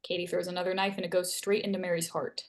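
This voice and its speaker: A woman's voice: lowish voice, level tone, quick pace. A woman reading scene headings and stage directions aloud as narrator at a screenplay table read.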